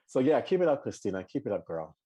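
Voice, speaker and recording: A man co-hosting a podcast in a casual live chat, talking animatedly with many restarts and high laughing outbursts. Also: dark tone, breathy edge. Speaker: podcast co-host.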